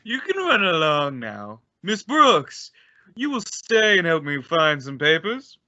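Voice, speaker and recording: A pompous, flustered principal played by a man in a radio comedy, imperious and easily exasperated. His high voice swoops widely, forceful and sharp, on a dull recording. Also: huge pitch swings, slow, no echo, heavily acted. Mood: brisk, commanding. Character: pompous, flustered, blustering male school principal. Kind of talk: old-time radio comedy acting.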